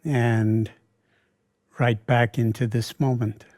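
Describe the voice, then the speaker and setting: A man leading a guided meditation in a calm, unhurried way, often pausing mid-sentence. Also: mid-range voice, dry recording, studio quality. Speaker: meditation teacher.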